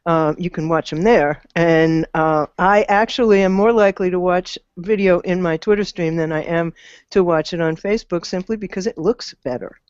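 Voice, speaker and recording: A woman's voice: lowish voice, fairly even intonation, moderate pace, darkish tone, smooth, no room sound. An older woman giving blunt, opinionated views in a casual panel discussion on a video call. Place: indoor room, video call. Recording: dull lo-fi video call audio.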